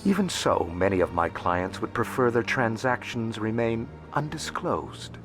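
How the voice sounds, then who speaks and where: Very deep, courteous alien broker. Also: male voice, animated. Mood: smooth, defensive. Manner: formal, courteous, measured phrasing. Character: shrewd, courteous alien financial broker, discreet and evasive. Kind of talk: video game character dialogue. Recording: music playing behind the voice.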